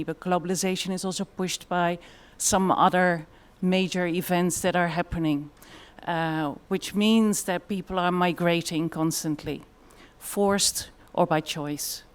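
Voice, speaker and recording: A woman's voice: on the low side, fairly even intonation, a little slow, hardly any pauses, clean tone. A woman with a Dutch accent giving a conference presentation in a thoughtful way, with frequent fillers. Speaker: conference speaker.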